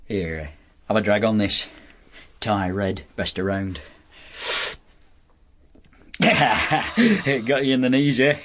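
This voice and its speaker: A man's voice: fairly low pitch, animated, slow pace, pauses often, fairly bright tone, a little hiss or hum. An actor in a radio drama playing a sleazy, chummy escort agency boss, with wheedling, rambling salesman patter. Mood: stoned, chummy.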